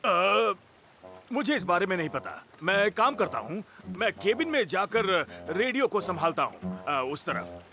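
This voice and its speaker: A self-important cartoon fire officer with a very high voice that swings about wildly. He blusters like a know-it-all and gets flustered easily. Loud score music runs behind him. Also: big pitch swings, a little slow, gravelly, full character voice. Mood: blustering. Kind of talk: animated children's cartoon dub.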